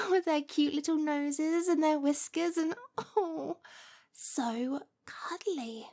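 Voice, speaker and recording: A woman playing a possessive, lovesick witch in an ASMR roleplay, cooing sweetly with menace and slipping into breathy whispers. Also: high voice, full character voice. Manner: breathy whispers mixed with giggles, sighs and cooing. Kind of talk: ASMR roleplay audio drama.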